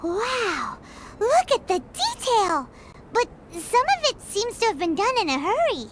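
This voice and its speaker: A woman voicing a tiny, excitable female fairy companion in a game, with a squeaky childlike voice and an indignant, protesting manner. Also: unhurried, background noise, exaggerated voice. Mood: amazed. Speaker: companion character. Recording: backing music.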